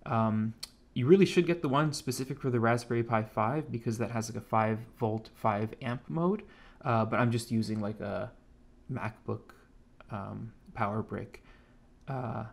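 A man's voice: lowish voice, moderate pace, creaky. A man with an American accent giving a laid-back, informal tech demonstration as a hobbyist. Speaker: hobbyist presenter of an electronics project. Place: indoors at a home computer desk.

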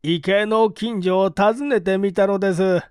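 Panicked rustic farmhand, high and drawn-out. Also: male voice, steady tone, clear, resonant tone, no echo, clean studio sound. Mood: urgent, breathless reporting. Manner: drawn-out shouting in rustic dialect. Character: panicked rustic farmhand reporting a death to his master. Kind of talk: audiobook character voice.

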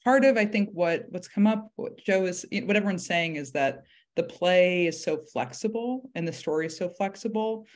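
A woman's voice: fairly low pitch, normal speed, darkish tone. Woman in her thirties explaining casually. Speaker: theatre director. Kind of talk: casual panel conversation. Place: indoor room at home, video call. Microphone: video call microphone.

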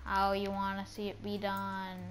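Slow-paced, rambling woman gamer. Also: medium pitch, monotone, slight background noise. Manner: informal, rambling, playful. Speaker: gaming channel host. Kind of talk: casual rambling chat over gameplay.

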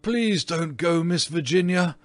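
A man's voice: on the low side, expressive, takes it slowly, no room sound. Male reader as old aristocratic English ghost, pompous, indignant, petulant, theatrical storybook delivery. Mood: pleading. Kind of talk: storybook character reading.

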